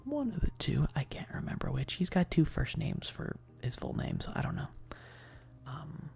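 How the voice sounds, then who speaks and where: Soft spoken asmr streamer, whispery n mellow, super crackly fry, rambles and second guesses themself. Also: medium pitch. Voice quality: soft, breathy, often dropping to a whisper. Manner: rambling, hesitant, self-deprecating. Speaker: livestream host. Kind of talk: soft-spoken ASMR livestream, casual chat.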